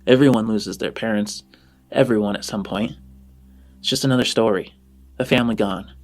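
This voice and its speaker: A man's voice: on the low side, normal speed, voice pushed down, natural voice. A man voicing a battered orphan boy in a tabletop game, rude, gloomy and resigned. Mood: bleak, resigned. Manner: blunt, gloomy.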